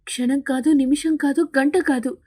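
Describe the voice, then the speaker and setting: A high-voiced cartoon pigeon acted out by a woman, yearning and bossy. She speaks with insistent emphasis, as in an animated fable. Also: normal speed, natural voice. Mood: lovesick. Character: lovesick, bossy female pigeon. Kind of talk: animated bird fable dialogue.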